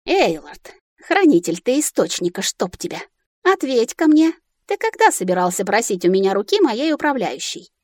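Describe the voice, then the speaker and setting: A woman voicing a sharp-tongued, bossy young heroine in a fantasy audiobook, exclamatory and theatrical. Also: a little high, very animated, normal speed, no room sound, clean studio sound. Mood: commanding. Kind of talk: audiobook character acting.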